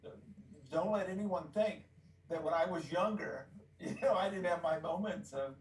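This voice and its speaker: A man's voice: high voice, normal speed. Male host, encouraging, casual, echoey room, off-mic. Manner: encouraging, loose with fillers. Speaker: podcast host. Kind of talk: casual interview chat. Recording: roomy distant mic with faint noise.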